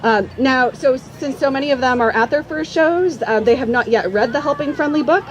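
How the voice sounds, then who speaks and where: A woman speaking informally to a live audience as an academic host and teacher, with halting pauses and repeats. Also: sits high, rough recording. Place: outdoors at a campground. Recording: outdoor public address with background noise. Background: faint outdoor ambient noise.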